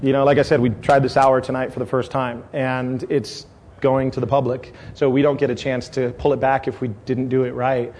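A man's voice: mid-range voice, little pitch movement, fairly quick, darkish tone, slight background noise. Rambling middle-aged man at a tasting. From San Diego, California. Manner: rambling, with many fillers and restarts. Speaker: brewery owner. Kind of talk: casual talk at a tasting event.

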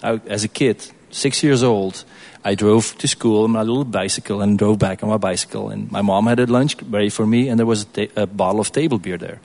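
A man from Belgium, speaking as a guest brewer in an informal question-and-answer session, in a casual, halting way. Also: lowish voice, fairly even intonation, vocal fry, darkish tone.

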